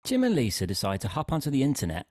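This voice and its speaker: A man's voice: medium pitch, wildly swooping pitch, fast, a little mellow, no echo, studio quality. A man narrating a scripted comic story.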